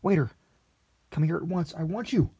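A man reading aloud and voicing a naive, excitable young man who gushes over his food in breathless exclamations, pitched well above his own voice. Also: brisk, gravelly, husky, full character voice. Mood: rapturous.

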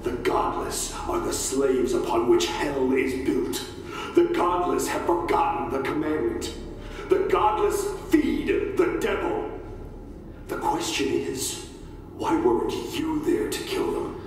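A man's voice: a little high, animated. A man playing a fanatical, devout soldier prisoner in a game audio log, preachy, solemn and menacingly certain. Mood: zealous. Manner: preachy, solemn, slow and deliberate. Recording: echoey hall from far off, faint noise.

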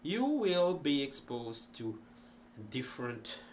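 Middle-aged man with an Italian accent, unhurried, halting delivery, home webcam sound with a faint hum behind. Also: medium pitch, sing-song. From Italy. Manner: slow, rambling, many ums and restarts. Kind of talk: casual talk to camera. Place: cluttered home music room.

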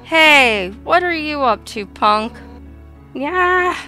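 A woman voicing a brash, warm-hearted female warrior fish creature in a blunt, informal way for a video game. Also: high-pitched, lively intonation, extremely slow, faint music behind, voice pushed up, heavily acted, low-quality recording. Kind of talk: video game dialogue reading.

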